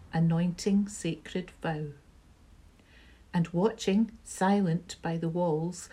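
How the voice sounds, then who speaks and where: Slow, mellow woman reciting poetry. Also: mid-range voice. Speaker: library staff member reading a poem. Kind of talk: poetry reading.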